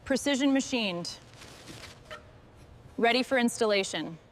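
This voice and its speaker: A woman's voice: a little high, animated, a little slow, noisy background. A woman giving short, procedural work commentary as she restores machinery.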